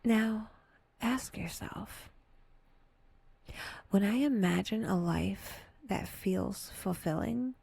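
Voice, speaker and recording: Soft breathy lady doing guided meditation, super slow, almost whispery, really dry close sound. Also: mid-range voice, no echo. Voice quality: breathy, often dipping toward a whisper. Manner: gentle, unhurried, with long pauses and wry asides.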